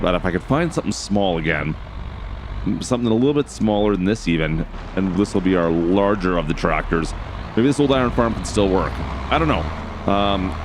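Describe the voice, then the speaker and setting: A man with a hoarse, raspy voice casually commenting as he plays a farming game, thinking aloud and talking to his viewers. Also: deep voice, background noise. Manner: chatty, thinks aloud, addresses viewers as guys. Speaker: game channel host.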